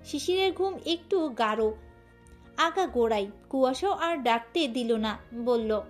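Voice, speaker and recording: A woman's voice: sits high, lively intonation, a little slow. A woman giving scripted narration of a romantic story. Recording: music bed under voice.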